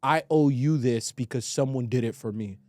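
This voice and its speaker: A man with a medium-pitched voice talks casually on a podcast. He gives his opinions in slangy, emphatic phrases with some swearing. The sound is dry, with very little room in it. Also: moderate pace, darkish tone, dry recording. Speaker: podcast co-host.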